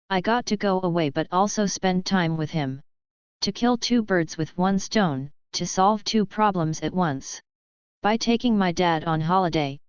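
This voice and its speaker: Lady reading english idioms slow and even, very clean voice, slightly dull sound. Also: on the low side, clear, resonant tone, no room sound. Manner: reads each line plainly and repeats it.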